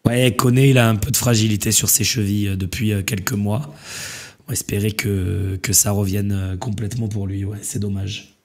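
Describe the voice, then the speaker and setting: Male radio host, low-ish voice, losing his voice to a cold; easygoing, rambling delivery. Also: normal speed. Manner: relaxed, rambling, many fillers. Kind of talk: casual call-in chat.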